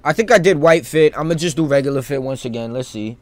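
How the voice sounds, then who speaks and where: A male video game streamer gives casual live commentary at a middling pitch. He talks in loose slang, thinking out loud and wandering from one thought to the next. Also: fast talker, no echo.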